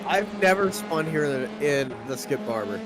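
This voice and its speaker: Hoher Männerstimme, Rennkommentar über Motorengeräusch. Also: male voice, a little high, moderate pace. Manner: relaxed, laughing, rises to excited play-by-play. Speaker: race commentator. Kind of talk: sim racing play-by-play. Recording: clear background car noise. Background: race car engine sounds.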